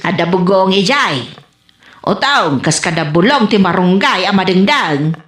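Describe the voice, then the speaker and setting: A woman's voice: fairly low pitch, lively intonation, a little slow, a bit bright. A woman playing a stubborn old rural grandmother with an Ilocano accent, querulous and exclaiming, in a comedy radio drama. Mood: grumbling, stubborn. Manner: querulous, exclaiming, broken English. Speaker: actor. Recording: echoey room.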